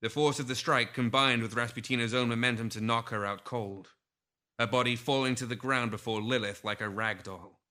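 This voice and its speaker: Male story reader, bright tense tone, medium pitch, theatrical, suspenseful, scripted fantasy narration. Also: steady tone, brisk. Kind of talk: scripted dramatic fiction narration.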